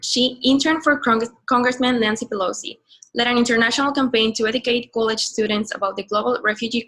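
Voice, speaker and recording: A woman reads a guest's introduction for a webinar. Her voice is bright and fairly high, and her reading is careful but uneven, stumbling and restarting on some words. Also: rough recording.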